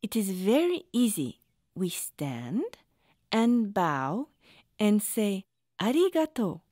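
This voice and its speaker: Slow-spoken, gentle cartoon mother. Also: mid-range voice, very animated, no room sound, clean studio sound. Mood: warm, polite. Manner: measured, explanatory, softly formal. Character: gentle, patient mother hosting a tea ceremony. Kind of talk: children's cartoon voice acting.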